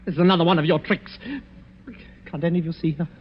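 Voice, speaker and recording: Man acting suave high-voiced gentleman murderer, scratchy old radio. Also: steady tone, quick pace, lo-fi sound, lightly acted. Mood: uneasy. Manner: polished, falsely courteous, taunting. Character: suave mocking gentleman murderer turning panicked and terrified. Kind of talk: 1940s radio drama acting.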